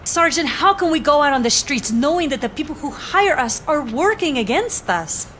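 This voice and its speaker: A woman's voice: high-pitched, very animated, moderate pace, fairly bright tone, a little hiss or hum. An actress playing a dedicated policewoman in a video game, earnest and direct. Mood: indignant. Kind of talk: video game cutscene acting.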